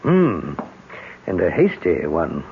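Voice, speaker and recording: Older British police inspector, gravelly, musing, suspicious, very lively intonation, old radio drama, tinny hissy sound. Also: male voice, low voice, slow, full character voice. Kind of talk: 1970s radio drama acting.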